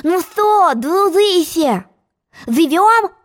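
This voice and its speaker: A woman voicing a pestering messenger hare in a storybook, prodding with questions and quick to lose patience. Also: very high-pitched, animated, pitched above the performer's own voice, full character voice.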